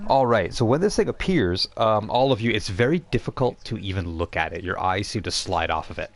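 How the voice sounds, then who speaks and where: A man hosting and narrating a tabletop role-playing game as game master, explaining things in an informal, halting way. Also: lowish voice, lively intonation, a little hiss or hum. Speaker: game master and stream host.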